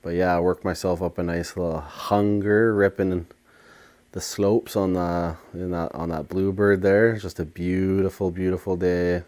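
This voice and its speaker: A man's voice: deep voice, a little mellow. A man talking casually to viewers as an eating vlogger, with a laid-back, slangy, playful way of speaking. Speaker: food and lifestyle vlogger.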